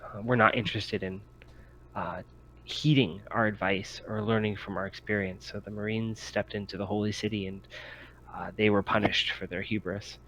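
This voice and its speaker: A solemn, devout elder of a frontier community, played by a male game master. He has a low voice and speaks patiently in measured, formal, halting phrases, as in a tabletop sci-fi story. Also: steady tone, a bit bright, a little hiss or hum, lightly acted, poor audio.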